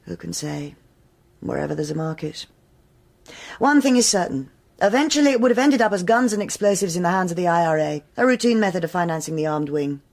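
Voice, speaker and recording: An actress playing a stern, authoritative police superintendent who speaks in a measured, formal way. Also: female voice, on the low side, lively intonation, vocal fry, darkish tone. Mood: briefing. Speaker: senior police officer. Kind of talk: radio crime drama acting.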